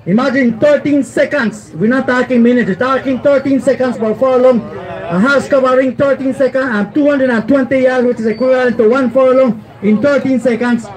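A middle-aged man giving live horse race commentary outdoors at a track, speaking into a handheld mic and rising to shouts as the horses run. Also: extremely high pitch, brisk, rough recording. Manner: excitable, rising to shouts at the finish. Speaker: race announcer. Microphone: handheld mic held close.

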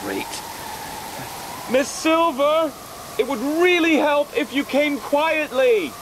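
An actor playing an earnest, long-suffering police sergeant, urgent and exasperated, in a radio drama. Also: male voice, extremely high pitch, takes it slowly, exaggerated voice. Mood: exasperated, pained. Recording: noisy outdoor effects behind.

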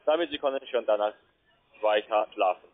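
Man, foreign accent, non-native German, low pitch, call-centre sales talk, tinny phone audio. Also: fairly quick. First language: not German. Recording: tinny narrowband phone line.

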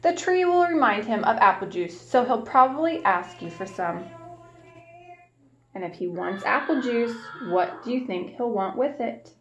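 A woman's voice: on the high side, lively intonation, highs cut off, background noise. A young woman reading a picture book aloud to small children, like a preschool teacher asking them questions as she goes, recorded in a small room at home on a webcam. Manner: warm teacherly, asks the children questions and pauses. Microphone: webcam at arm's length.

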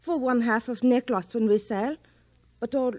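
An actress playing a young, demanding Dutch heiress in a 1940s radio drama, speaking inverted broken English with a Dutch accent. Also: female voice, on the high side, normal speed, a little mellow, no room sound, natural voice. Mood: matter-of-fact.

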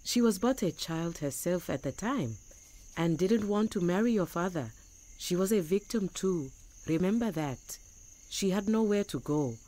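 A caring but frank wife in a radio drama. Her voice is a little low for a woman and swings animatedly in pitch, with a direct, expressive delivery. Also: measured pace. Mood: gently urging. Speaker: actor in an audio drama. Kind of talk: audio drama acting.

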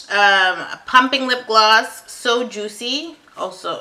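A young woman from Canada, a native English speaker, talks through her makeup in slow, halting French, often stopping to hunt for a word. Her voice sits fairly high and rings very bright. She is recorded close to the camera in a small, bright room. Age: young adult. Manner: halting, searching for words, laughing at herself. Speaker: beauty vlogger. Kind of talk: casual makeup tutorial chat.

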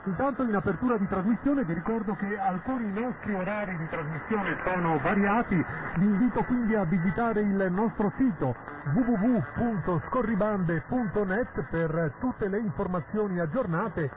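A man's voice: high voice, normal speed. A man hosting a radio programme, reading a scripted introduction over shortwave. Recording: muffled, noisy shortwave radio reception.

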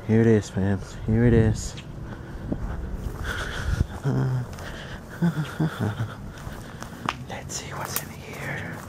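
A young man vlogging as he explores an abandoned house, talking excitedly to his viewers, recorded on a handheld camera. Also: on the low side, drawn-out words, gravelly, long pauses, in a whisper. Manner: excited, addresses viewers as fams. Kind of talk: casual exploring vlog. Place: outdoors and inside an abandoned rural house. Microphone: handheld camera at arm's length.

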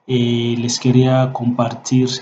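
A middle-aged man from the Democratic Republic of the Congo, a professor whose first language is Swahili, speaking slowly with many fillers as he introduces and recites a short poem from a webcam in a small room. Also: mid-range voice, monotone, mellow, echoey room, highs cut off, a little hiss or hum. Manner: slow, hesitant speech with frequent fillers. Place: small indoor room at a desk.

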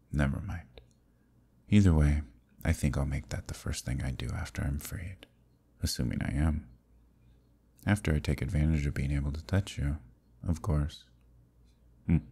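A man playing an ancient, imprisoned eldritch villain in an ASMR roleplay, seductive and lonely, with a deep, breathy voice and an intimate, teasing manner. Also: dark tone, full character voice. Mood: tempted, sly. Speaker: voice actor.

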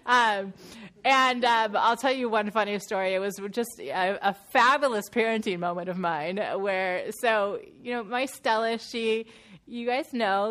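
Nasal-sounding woman leading Bible study. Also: medium pitch. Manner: chatty and laughing, with many fillers and restarts. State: nasal from a sinus infection. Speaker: women's Bible study leader. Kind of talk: casual talk to a women's group. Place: church meeting room.

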